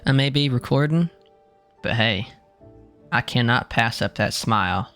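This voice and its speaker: A man's voice: mid-range voice, measured pace, a bit bright, faint music behind. A young man giving casual, slangy commentary as he streams a video game. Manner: slangy, informal.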